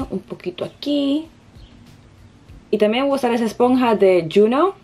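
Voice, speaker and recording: A woman's voice: medium pitch, a little mellow. A young woman from the Dominican Republic with a Dominican Spanish accent, chatting through a makeup tutorial at home, hesitant and searching for words. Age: young adult. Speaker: beauty vlogger. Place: bedroom, indoors.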